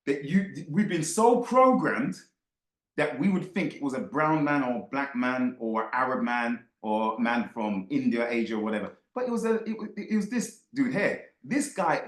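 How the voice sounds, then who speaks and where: A man giving impassioned off-the-cuff commentary to his followers, emphatic, repeating phrases and throwing rhetorical questions at listeners. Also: mid-range voice. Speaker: book club host. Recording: roomy, echoey sound.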